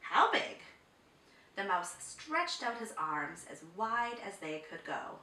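Slow, expressive woman storyteller in a room. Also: medium pitch, slight background noise. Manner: dramatic, deliberate. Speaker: children's storyteller. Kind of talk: expressive picture-book reading. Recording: roomy, distant microphone.

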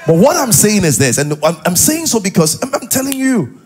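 A middle-aged man with a Nigerian accent and a husky voice, preaching as a pastor to a live congregation in an animated, conversational way, full of interjections and rhetorical questions. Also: sits high, a little fast. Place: large church auditorium, on stage. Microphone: headset.

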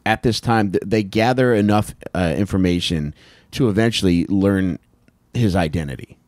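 A man's voice: low voice, normal speed, a little mellow, dry recording. A man in his thirties chatting casually and bluntly as a comedic true-crime podcast co-host, swearing freely, close on a handheld mic. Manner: blunt, profane. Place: small indoor podcast room on couches. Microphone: handheld microphone held close.

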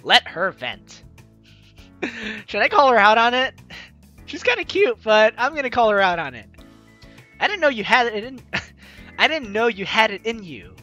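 Bright, animated gaming commentator. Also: mid-range voice, normal speed, long pauses, lo-fi sound. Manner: animated, exclaiming, giggly. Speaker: gaming video host. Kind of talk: let's play commentary, reading visual novel lines aloud. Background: game music.

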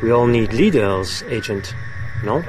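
A haughty, condescending European commander, acted by a man for a game cutscene. His pitch moves around a lot. He sounds distant from the mic, with music behind him. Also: lively intonation, unhurried, lightly acted. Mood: aloof, condescending. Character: haughty, aloof European military leader.

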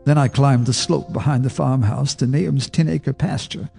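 Brief: man narrating a horror radio play, measured and grave, mid pitch, faint music under. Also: gravelly, warm tone. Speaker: narrator, first-person character.

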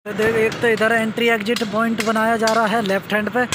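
A man's voice: high-pitched, little pitch movement, a little fast, background noise. A man vlogging from a construction site, chatting casually to his viewers as friends. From India. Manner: conversational, addressing viewers as friends. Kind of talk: casual walkthrough narration.

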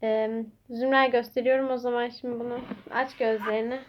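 A woman's voice: a little high, flat delivery, brisk, dull audio, a little hiss or hum. A woman chatting casually as she hosts a homemade quiz game and speaks to viewers. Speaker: vlog host quizzing a child.

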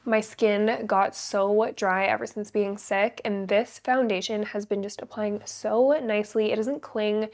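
A woman's voice: mid-range voice, fairly even intonation, a little slow, few pauses, darkish tone. A young woman with a stuffy, congested voice, chatting candidly and upbeat through a makeup tutorial in a plain indoor studio. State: congested from a cold. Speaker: beauty creator. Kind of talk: casual makeup tutorial chat.

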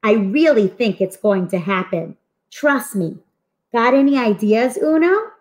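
A woman voicing friendly, eager schoolchildren from a comic book in an expressive, earnest read-aloud. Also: a little high, measured pace. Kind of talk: animated storybook read-aloud.

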